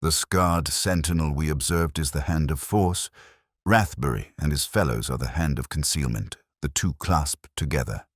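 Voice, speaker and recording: A man's voice: very deep voice, normal speed, breathy edge, no echo, studio quality. A man voicing a brilliant, unyielding Victorian London detective in an audiobook, formal and decisive in his speech. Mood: keen, deducing.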